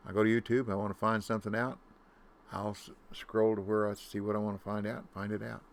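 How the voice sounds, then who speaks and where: A man with a deep voice giving casual commentary on his own gameplay, muttering to himself and humming nonsense tunes. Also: level tone, fairly quick, creaky. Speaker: gaming YouTuber.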